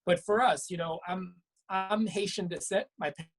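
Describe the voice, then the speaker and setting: Husky-voiced woman physician explaining earnestly. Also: mid-range voice, normal speed. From Brooklyn, New York. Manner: earnest, explanatory, with frequent restarts. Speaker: physician guest. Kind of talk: conversational interview answers. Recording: web talk show.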